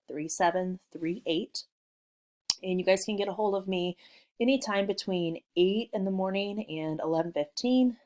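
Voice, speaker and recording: A woman from Missouri with a notably low voice, teaching a lesson she recorded at home. She talks in a friendly, unhurried way with plenty of ums as she explains things to her students. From Missouri, USA. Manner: friendly, relaxed, with frequent ums. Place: working from home.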